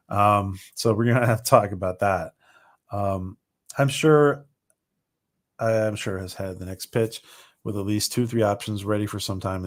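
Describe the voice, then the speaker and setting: Rambling man going hoarse, low voice. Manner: rambling, many ums and restarts. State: voice starting to go hoarse. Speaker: livestream host. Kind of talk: casual livestream chat reading viewer comments.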